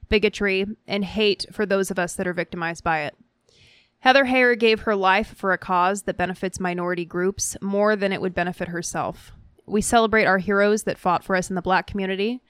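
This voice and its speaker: A woman co-hosting a podcast, reading listener messages aloud in a measured, articulate manner. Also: mid-range voice, a bit bright, clean tone, no echo, studio quality. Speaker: podcast co-host.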